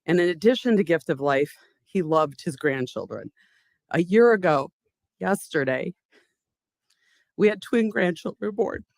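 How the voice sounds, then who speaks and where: An older woman speaking on her late husband's behalf at an awards gala, heartfelt and halting, with personal stories. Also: fairly low pitch, lively intonation, vocal fry, no room sound. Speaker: award recipient's widow accepting on his behalf. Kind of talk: emotional acceptance speech.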